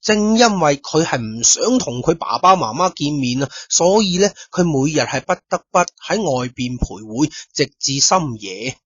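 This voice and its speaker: A man's voice: on the high side, sing-song, moderate pace, hardly any pauses, a bit bright, husky, no room sound. A man narrating a story as a storyteller, chatty with casual asides to listeners. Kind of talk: storytelling narration of a radio drama. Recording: dull lo-fi recording.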